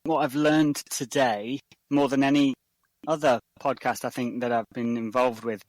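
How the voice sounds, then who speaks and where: A man's voice: mid-range voice, steady tone, moderate pace, no room sound, poor audio. A man co-hosting a podcast, reflecting earnestly and unhurriedly and then reading the show's outro. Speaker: podcast co-host. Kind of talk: casual reflection and outro announcement.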